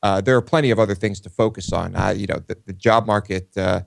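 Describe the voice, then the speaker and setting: Low-voiced man, casual co-host. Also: fairly even intonation, fast talker, gravelly, no room sound. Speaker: talk show co-host. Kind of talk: casual political discussion.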